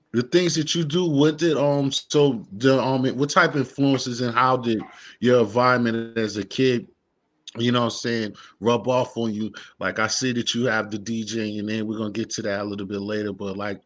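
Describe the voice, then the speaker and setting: A man hosting an interview, asking laid-back, halting questions and often saying "man". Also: fairly low pitch. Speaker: interview host. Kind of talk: casual interview chat.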